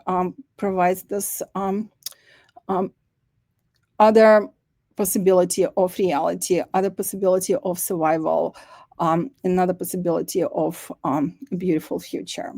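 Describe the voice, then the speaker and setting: A woman artist presenting her work at an online demo day, speaking reflectively with many pauses and fillers. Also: medium pitch, level tone, dark tone, no echo.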